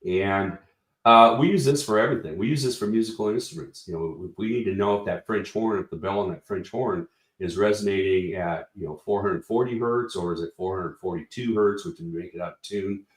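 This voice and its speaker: A man's voice: low-pitched, brisk, roomy sound. A man representing a product company, explaining speaker design in a casual technical walkthrough with many fillers and restarts.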